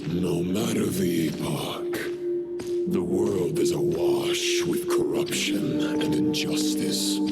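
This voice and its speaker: An actor playing a bitter fallen knight who preaches dark truths in a solemn, declamatory, grandiose manner for a video game. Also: male voice, on the low side, creaky, heavily acted. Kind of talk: dramatic video game voice acting. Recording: voice under loud music.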